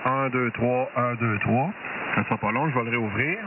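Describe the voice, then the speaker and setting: A man's voice: mid-range voice, moderate pace, heavy background noise. A man with a Quebec French accent talking casually and colloquially about technical matters as an amateur radio operator, heard over a radio transmission. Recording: tinny narrow-band ham radio transmission.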